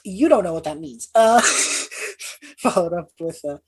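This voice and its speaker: A woman with a husky voice playing a tabletop role-playing game, narrating a character's reactions in an animated, casual way. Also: on the low side, measured pace. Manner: animated, describes own character's reactions in third person.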